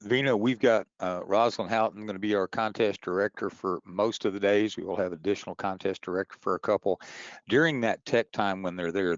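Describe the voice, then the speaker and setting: Male webinar host, very deep voice, informal official briefing, rephrases often, echoey muffled audio. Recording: dull, roomy webinar audio.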